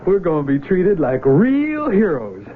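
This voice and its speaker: An actor playing an eager, naive young Civil War recruit with a folksy, stammering drawl, heard on a tinny old radio broadcast. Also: male voice, high-pitched, huge pitch swings, measured pace, slight background noise, exaggerated voice. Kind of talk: 1970s radio drama acting.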